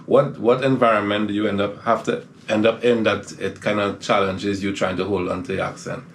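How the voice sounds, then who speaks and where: Male podcast host, low voice, affirming, faint background noise. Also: flat delivery, brisk. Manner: encouraging, frequent affirming "right". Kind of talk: casual interview.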